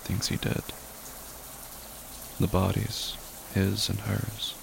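A man narrating a horror story in a somber, grave and hushed manner, with deliberate pauses. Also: lowish voice, monotone, gravelly. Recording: loud rain noise behind voice.

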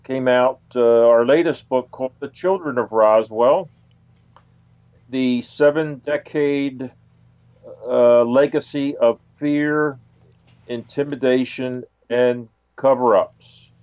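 Slow-talking man with a clear, smooth voice. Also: medium pitch, steady tone, darkish tone. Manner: halting, deliberate. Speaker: interview guest, author and investigator. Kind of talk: unscripted radio interview answers.

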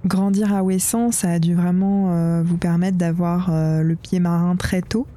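A woman interviewer whose first language is Portuguese, asking thoughtful questions with soft pauses. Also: lowish voice, little pitch movement. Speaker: radio interviewer.

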